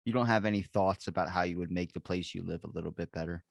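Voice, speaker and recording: A man's voice: low-pitched, steady tone, fast, gravelly, dry recording. A man in his twenties hosting a podcast, chatting casually in a rambling, joking way full of fillers. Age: 20s. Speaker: podcast host. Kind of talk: casual chat.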